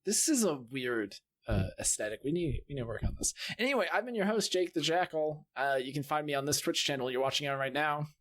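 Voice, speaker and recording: Male host, informal group chat show, voice a touch high. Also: animated, brisk, few pauses, fairly bright tone. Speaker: podcast host. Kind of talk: casual group chat hosting.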